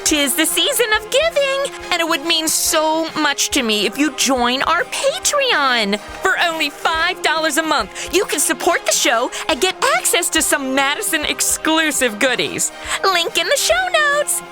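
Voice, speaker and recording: A woman's voice: extremely high pitch, sing-song, unhurried, over music. A woman hosting a podcast, perky and informal, narrating and addressing listeners. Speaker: podcast host.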